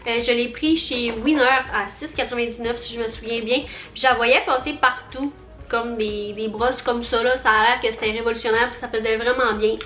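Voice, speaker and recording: A woman's voice: a little high, fairly even intonation, moderate pace, a bit bright, roomy sound, soft background music. A young woman with a Quebec French accent, chatting through a casual haul vlog, bubbly and rambling with lots of fillers. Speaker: vlogger.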